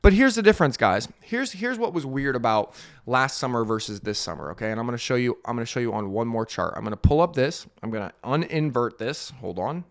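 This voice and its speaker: A man's voice: mid-range voice, animated. A man with a slightly sick, nasal voice walks through trading charts in a hyped, sarcastic way. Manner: hyped, sarcastic, fast-talking. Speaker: crypto YouTuber. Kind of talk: excited trading chart walkthrough.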